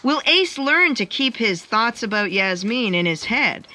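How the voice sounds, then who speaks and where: An actress playing an imperious, exasperated editor-in-chief in comic audio theatre, curt and impatient. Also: female voice, on the high side, very animated, unhurried, bright, forceful tone, full character voice. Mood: dramatic teasing. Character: bossy, exasperated female editor-in-chief of destiny broadcast.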